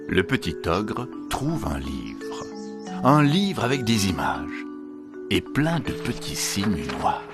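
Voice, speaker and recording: A man reads a children's story with a highly animated, swooping delivery. His voice sits fairly low and he performs the narration theatrically. Music plays clearly behind him. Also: slow.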